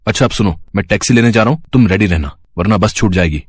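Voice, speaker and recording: A man playing a young city husband in an animated moral story, instructing and reproachful. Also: on the low side, fast talker, slight background noise, natural voice. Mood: matter-of-fact.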